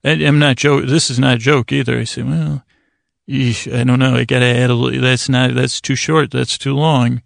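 A man with a creaky voice hosting a bedtime podcast, talking in a soothing, meandering monologue with halting pauses and digressions. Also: mid-range voice, little pitch movement, no echo, studio quality. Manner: soothing, lulling delivery. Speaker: podcast host.

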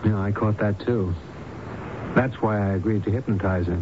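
Calm, probing physician played by a man, reassuring and deliberate, vintage radio drama sound with clear background noise. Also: fairly low pitch, natural voice. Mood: pensive. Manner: measured, reassuring, questioning. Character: male doctor and hypnotist, calm, probing, thoughtful. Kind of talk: 1970s radio drama acting. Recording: dull noisy old radio broadcast recording.

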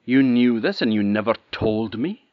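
A man's voice: lowish voice, animated, moderate pace, no room sound, narrow, tinny audio. A man voicing an indignant, bossy eldest princess in a fairy tale. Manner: indignant, forceful.

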